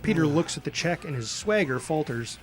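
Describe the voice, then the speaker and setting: A man's voice: on the high side, lively intonation, moderate pace, faint music behind. A man narrating a screenplay, reading out scene headings and action as a scripted narrator. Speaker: narrator reading stage directions.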